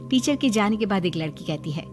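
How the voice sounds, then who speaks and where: A woman reading scripted narration for an animated moral story as the narrator. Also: medium pitch, a little fast, soft background music.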